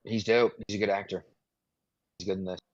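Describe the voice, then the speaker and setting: A man's voice: lowish voice, fairly even intonation, a little fast, dry recording, muffled recording. A man co-hosting a podcast, musing casually in loose, informal phrases. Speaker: podcast co-host. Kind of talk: casual chat.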